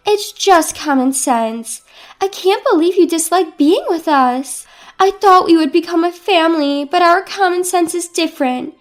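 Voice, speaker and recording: A woman's voice: extremely high pitch, voice pushed up. A woman voicing a pushy, smug older mother-in-law with a haughty, guilt-tripping manner in a dramatized story. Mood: fake hurt. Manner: haughty, artificially wounded, scolding.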